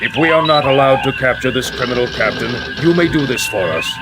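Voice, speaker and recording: A man's voice: medium pitch, fairly quick, very noisy, natural voice. An actor playing a menacing male alien commander in a sci-fi game, formal, imperious and coldly polite. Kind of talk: scripted sci-fi game voice acting.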